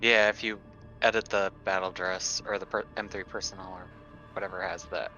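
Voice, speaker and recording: A man's voice: low voice, level tone, fairly quick, sharp tone. A man taking part in a tabletop game with casual table talk. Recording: dull lo-fi mic, faint music.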